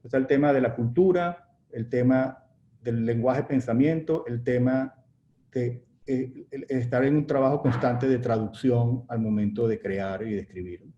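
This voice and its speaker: A man's voice: medium pitch, normal speed, mellow. Hesitant man moderating a video call. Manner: hesitant, many restarts. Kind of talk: moderating an online panel, reading audience questions. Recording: dull echoey call audio with faint noise.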